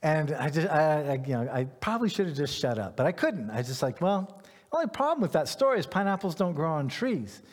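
Male preacher, middle-aged, fairly high register, informal self-deprecating storyteller, recorded with long hall echo. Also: sing-song, fairly quick. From Ghana, West Africa. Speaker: pastor. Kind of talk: conversational sermon.

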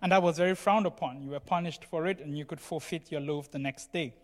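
A man's voice: fairly high pitch, quick pace. A man preaching a sermon in a conversational, explanatory way, often checking in with his listeners. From Cameroon. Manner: explanatory, frequently checks in with "right?" and "you know". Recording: long hall reverb.

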